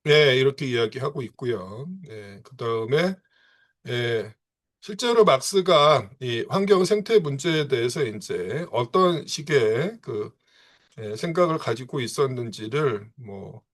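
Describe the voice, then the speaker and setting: Brief: male seminar lecturer, mid-pitched, halting delivery sprinkled with fillers. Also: animated, fairly bright tone. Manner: punctuates phrases with frequent filler affirmations.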